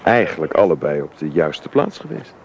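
A man's voice: extremely low pitch, sing-song, moderate pace, vocal fry, lo-fi sound, a crowd in the background, full character voice. A middle-aged man playing a wistful, affectionate father in a naturalistic radio drama, searching for his words and repeating them. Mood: wistful, reflective. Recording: noisy radio play recording.

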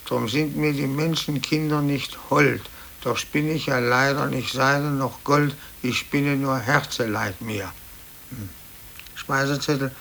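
Grumpy, creaky older office clerk. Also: male voice, mid-range voice, fairly bright tone. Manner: grumbling, muttering, sarcastic. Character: grumpy, aggrieved older male office clerk with stomach trouble. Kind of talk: 1950s German radio play acting. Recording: hissy old cassette copy of radio broadcast.

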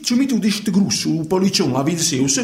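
An actor playing an eager, pleading, rambling sidekick in theatrical radio dialogue. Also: male voice, high voice, big pitch swings, fairly quick, a little mellow, reverberant. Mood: flustered, eager. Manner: rambling, insistent, stumbling dialect speech.

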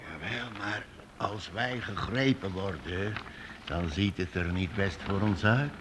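A man playing a worldly, commanding master of travelling musicians in a Dutch radio drama, speaking with deliberate authority. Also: low voice. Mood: sceptical. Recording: noisy old radio play recording.

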